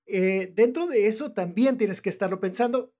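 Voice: mid-range voice, moderate pace, dark tone. A host with a northern Mexican accent asks questions in a casual, chatty way. The voice sits in a middle register. The tone is enthusiastic. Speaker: interview host. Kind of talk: casual interview chat.

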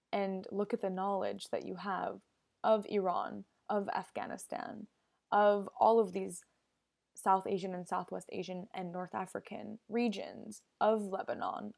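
A young woman talks alone into a podcast mic, with a noticeable vocal fry running through her voice. She sounds earnest and opinionated and drifts into side topics before pulling herself back. Her pitch sits right in the middle for a woman. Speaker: podcast host. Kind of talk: casual solo podcast monologue.

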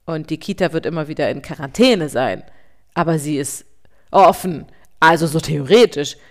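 A woman in her thirties co-hosting a podcast as a parent, talking fast and getting worked up, sometimes turning sarcastic. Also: on the low side. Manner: fast, agitated, sarcastic when upset. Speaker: podcast co-host. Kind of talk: casual podcast chat.

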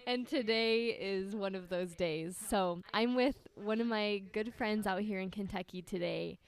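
A woman's voice: mid-range voice, measured pace. Bubbly woman host chatting at a friend's house, laughs a lot. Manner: bubbly, laughs often, restarts sentences. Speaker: podcast host. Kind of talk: casual interview chat.

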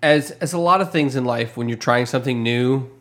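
A male co-host with a wry, teasing way of talking, poking fun at his friends in a relaxed podcast chat. He gives advice in an easygoing, offhand manner. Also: mid-range voice, fairly quick.

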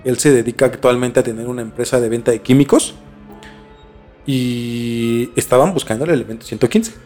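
A man with a Mexican accent telling a story casually as a podcast host, informal and halting as he searches for words. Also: mid-range voice, darkish tone, soft background music.

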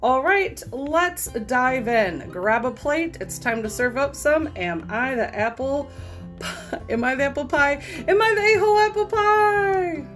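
A woman's voice: high-pitched, animated, measured pace, fairly bright tone, soft background music. A woman hosting a podcast, reading online posts aloud cold and then giving her frank take on them. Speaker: podcast host.

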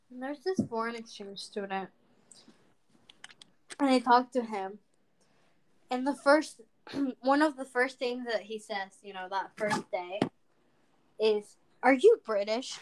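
A woman's voice: a little high, noisy background. Chatty woman with a Venezuelan accent. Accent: Venezuelan Spanish. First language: Spanish. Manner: chatty storyteller, lots of fillers. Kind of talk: casual chat.

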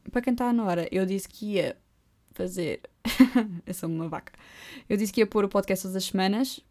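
A young woman with a European Portuguese accent hosting her own podcast, chatting casually and poking fun at herself with quick asides. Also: medium pitch. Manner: joking, self-mocking, lively asides. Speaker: podcast host. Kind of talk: casual solo podcast chat. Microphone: close podcast microphone.

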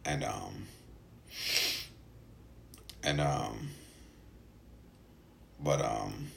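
A man who stutters, speaking casually in a halting personal monologue with frequent gaps and hesitations, as a podcast host. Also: extremely low pitch, vocal fry, a little hiss or hum.

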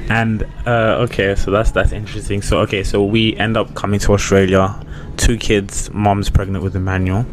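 A young man with a lowish voice, the sort heard interviewing family on a holiday podcast, ambient noise all around. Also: level tone, moderate pace. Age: young adult. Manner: slangy, conversational. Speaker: podcast host interviewing his father. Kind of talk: casual chat. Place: on a cruise ship. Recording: clearly noisy ambient background.

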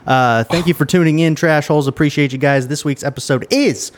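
A man's voice: on the high side, fairly quick, a little hiss or hum. A man hosting a casual comedy podcast, jokey and self-mocking, sweating in the heat. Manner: jokey, laughs at himself. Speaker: podcast host.

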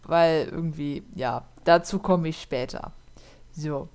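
A woman's voice: low-pitched, takes it slowly, a little mellow. Woman podcaster, casual storyteller, filler-heavy. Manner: chatty, laughs often, many fillers. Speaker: podcast co-host telling a true crime case. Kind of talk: casual podcast chat and case narration.

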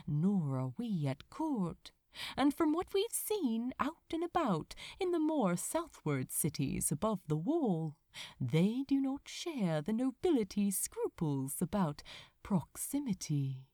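A woman voicing a refined, mischievous gentleman who teases with prim mock propriety in a storybook reading. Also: medium pitch, big pitch swings, dry recording, studio quality. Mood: mock-proper, teasing. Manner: prim, mock-proper, deliberate innocence. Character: refined, mischievous gentleman, teasing dance tutor.

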